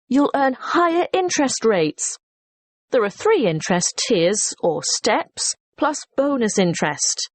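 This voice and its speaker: Woman playing a lively, higher-voiced company information announcer. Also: dry recording. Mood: informative. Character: helpful female investment society employee promoting savings services. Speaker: information line announcer for an investment society. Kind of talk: scripted recorded customer information message.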